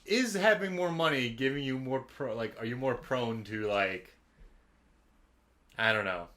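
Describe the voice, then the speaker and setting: A man's voice: mid-range voice, a little slow, pushed and bright. A young man, joking and chatting informally as a podcast co-host. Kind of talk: casual chat.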